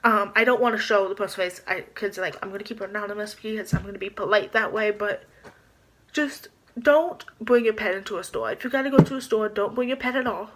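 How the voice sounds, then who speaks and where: A woman's voice: mid-range voice, fast, a bit bright, rough recording. A young woman vlogging from her small bedroom into a built-in camera mic, indignant and rambling with lots of "like" as she talks. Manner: indignant, rambling, many filler "like"s, gestures a lot. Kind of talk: casual vlog rant to camera.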